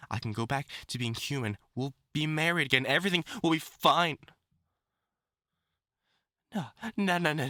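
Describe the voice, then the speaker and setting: A man's voice: fairly high pitch, bright, forceful tone, no echo, clean studio sound, heavily acted. A man acting a lovesick, obsessive supervillain scientist with formal, theatrical diction in a dramatic audio roleplay monologue. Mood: frantic, pleading. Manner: formal, theatrical diction, tender endearments breaking into anguished outbursts.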